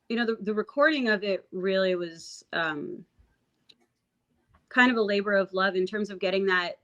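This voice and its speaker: A woman singer-songwriter with a raspy voice, talking reflectively about her music in a casual interview, full of fillers and restarts. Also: medium pitch, fairly bright tone. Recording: dull lo-fi audio.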